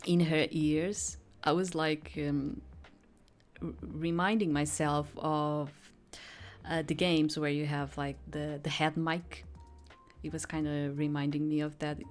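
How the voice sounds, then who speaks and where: A woman streams a visual novel in a fairly low voice. She moves between reading the narration aloud and casual, chatty commentary. Soft music plays in the background. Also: fairly even intonation, takes it slowly. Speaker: game streamer. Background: game music.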